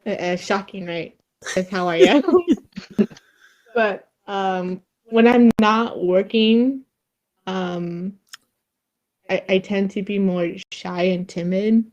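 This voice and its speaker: A woman with a slight Vietnamese accent, chatting casually as a streamer on a podcast, halting and restarting often with frequent giggles. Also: mid-range voice, a little mellow. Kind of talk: casual chat.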